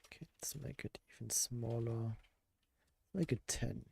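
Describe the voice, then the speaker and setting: A man streaming his game development, hoarse with a worn-out voice, thinking aloud, answering chat and laughing at himself. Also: mid-range voice, measured pace, darkish tone. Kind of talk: casual live-stream chat while coding.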